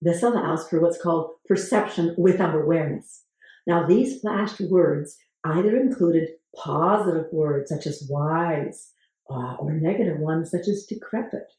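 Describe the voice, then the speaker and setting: A woman hosting a channel, talking to camera about research, with a gravelly voice today and a measured way of asking viewers questions. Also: low voice, warm tone. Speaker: host. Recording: very echoey room.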